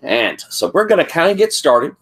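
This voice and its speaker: A man who is hoarse and sniffly, running a tabletop game as game master, talking in a rambling way with many fillers. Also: a little high, sing-song, moderate pace, a bit bright. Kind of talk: casual tabletop game recap.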